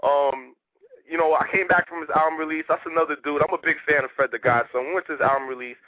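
A man from Haiti, a rapper guest talking casually in a radio interview, animated and streetwise. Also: a little high, no echo. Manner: animated, streetwise, rapid talk with repeated "you know what I mean". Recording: tinny phone line, dry.

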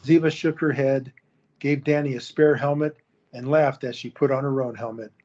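A man narrating an audio drama in a steady storytelling manner. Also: mid-range voice, normal speed. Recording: dull lo-fi recording.

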